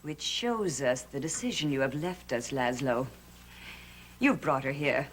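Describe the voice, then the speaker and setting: A woman's voice: rumbling low, expressive, a little slow, slight background noise, poor audio. A woman playing a bitter, scornful witch in a gothic soap opera, speaking theatrically. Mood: cold. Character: scornful, bitter witch who once headed the coven.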